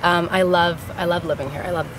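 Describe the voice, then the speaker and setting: A woman's voice: lowish voice, fairly even intonation, fast, noisy background. A young woman from Dubai, a singer-songwriter, answering interview questions in a park in an earnest, chatty way, with frequent laughs and fillers. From Dubai, UAE. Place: outdoor city park.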